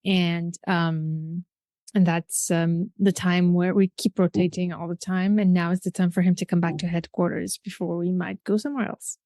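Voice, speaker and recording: A woman from Algeria telling her life story as a podcast guest, animated and laughing often. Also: lowish voice, moderate pace, no room sound. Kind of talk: casual interview chat.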